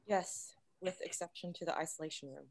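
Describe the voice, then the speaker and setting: A woman playing a calm, soft-spoken synthetic doctor in a screenplay table read. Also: deep voice, fairly quick, gravelly, no room sound. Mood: clinical, concerned.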